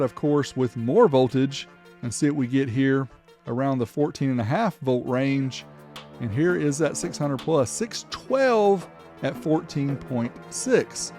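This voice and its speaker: Male reviewer, higher-than-average pitch, casual and upbeat commentary, music present in the track. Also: a little mellow. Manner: chatty, informal, upbeat. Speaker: host of a car audio testing show. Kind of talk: casual product test commentary.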